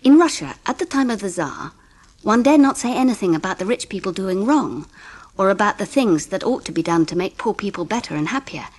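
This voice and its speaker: A gentle, weary English mother played by an actress, husky and expressive in a dry recording. She narrates and talks with tender, measured care, in dramatised audiobook style. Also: medium pitch, dry recording, lightly acted. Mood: explaining. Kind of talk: scripted first-person narration and audiobook drama.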